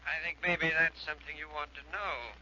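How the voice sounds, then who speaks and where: An actor playing a shrewd, suspicious, insinuating insurance investigator in 1940s radio style. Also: male voice, a little high, fairly even intonation, brisk, sharp tone. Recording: dull old radio recording, faint noise.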